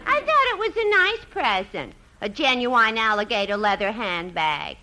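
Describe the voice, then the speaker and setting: Chatty switchboard girl, 1950s radio comedy: high, bright, brassy New talk, gullible and starstruck. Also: sing-song, normal speed, some chatter behind, a little hiss or hum, heavily acted, rough recording. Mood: gossipy, teasing.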